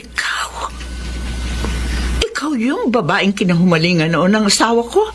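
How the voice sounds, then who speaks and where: A woman's voice: mid-range voice, very animated, normal speed, background noise, natural voice. A woman playing a guarded, indignant wife who firmly defends her family in a radio melodrama. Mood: wary, questioning. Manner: firm, dramatic. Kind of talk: Filipino radio melodrama acting. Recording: music behind the dialogue.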